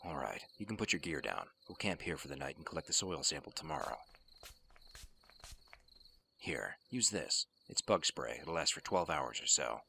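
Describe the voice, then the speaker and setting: An actor playing a gruff, world-weary special-forces soldier in an audio drama, terse and sardonic. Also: male voice, rumbling low, very animated, moderate pace, bright, forceful tone, slight background noise, heavily acted. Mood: gruff, stern. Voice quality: gravelly. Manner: terse, commanding, dry sarcasm.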